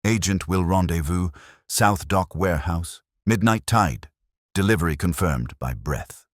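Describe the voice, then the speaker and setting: A man voicing a cool, precise, commanding Victorian detective with deliberate, theatrical pronouncements in an audiobook. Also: low voice, no echo, studio quality. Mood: grave, deliberate.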